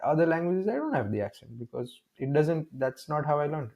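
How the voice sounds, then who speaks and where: A young man from India talks through his experiences in a mellow, relaxed voice. He tells stories with energy, acts out the other voices in a conversation, and often restarts his sentences as he goes. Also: on the high side, fairly quick, creaky. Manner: animated storytelling, acts out dialogue, many restarts. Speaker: guest. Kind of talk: casual conversational interview.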